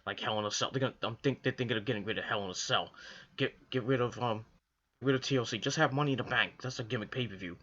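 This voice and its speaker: Hoarse man rambling about wrestling. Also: mid-range voice, quick pace, a bit bright, lo-fi sound. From Northeastern US. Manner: rambling, repetitive, frequent restarts and fillers. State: hoarse, coming down with a cold. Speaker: wrestling video reviewer. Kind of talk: casual solo commentary to camera.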